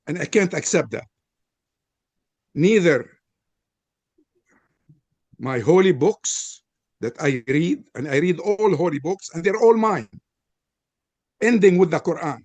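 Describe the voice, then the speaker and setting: A man's voice: fairly high pitch, animated, slow pace, pauses often, a little mellow, no room sound. Older Lebanese man speaking earnestly. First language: Arabic. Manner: earnest, frank, personal storytelling. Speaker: panel presenter. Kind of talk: interfaith panel statement.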